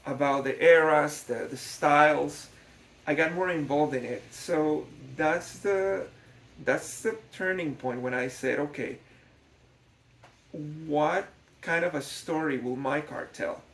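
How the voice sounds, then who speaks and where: A man's voice: a little high, slow. Man in an echoey room. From Guatemala. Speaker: scale model builder, documentary narrator. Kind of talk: unscripted explanatory documentary narration.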